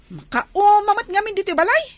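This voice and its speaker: An actress playing a whiny, defiant and emotional young runaway daughter in a radio drama. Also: female voice, very high-pitched, sing-song, a little fast, dry recording. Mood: upset, defiant. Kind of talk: Filipino radio drama acting. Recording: music behind the voice.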